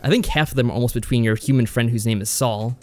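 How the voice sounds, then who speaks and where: A man co-hosting a podcast, explaining and reviewing games in a measured, conversational way. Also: mid-range voice. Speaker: podcast co-host. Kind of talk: conversational visual novel review.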